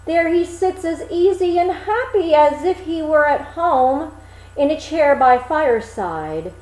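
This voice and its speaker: Storybook simpleton: woman plays a cheerful, artless young man, high and very animated. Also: measured pace, reverberant, low-quality recording. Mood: admiring, envious. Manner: exclaiming, eager, artless. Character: cheerful naive young man Hans, easily delighted. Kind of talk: storybook character reading.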